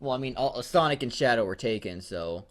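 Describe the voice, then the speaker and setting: A man talks in a mid-range voice as co-host of a fan fiction reading show. His delivery is loose and joking, and he rambles, halting and stammering as he works through a thought. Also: mid-range voice, animated, fairly bright tone. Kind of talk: casual joking chat.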